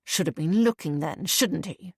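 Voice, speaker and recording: A woman voicing a blunt, protective grown man with a husky, growling voice in a storybook reading. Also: lowish voice, darkish tone, no room sound, clean studio sound, pitched below the performer's own voice. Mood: angry. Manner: blunt, sarcastic, quick to anger. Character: gruff protective adult man, teasing brother.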